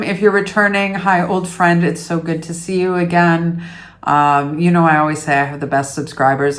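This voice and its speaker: A middle-aged woman from Canada hosting a yarn vlog from her dining room at home, chatting casually to the camera in a rambling, self-deprecating way with many fillers. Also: lowish voice. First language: English. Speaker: yarn vlogger host.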